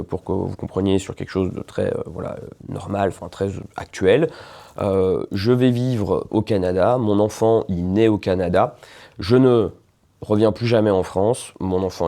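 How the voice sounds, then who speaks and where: A man with a deep, mellow voice and a gravelly edge talks through a legal topic for a video. He explains in a loose, conversational way, pausing on fillers and often checking the listener is following. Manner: conversational, many hesitations, checks in with "d'accord". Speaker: lawyer explaining nationality law.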